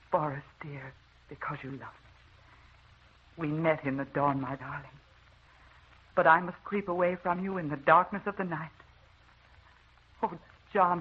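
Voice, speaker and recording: An actress playing a tragic, haunted wife in an old radio drama, speaking with tender, earnest melodrama. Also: female voice, sits low, husky. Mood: sorrowful, tender. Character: tragic, haunted young wife with a mysterious past. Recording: muffled, noisy old radio recording.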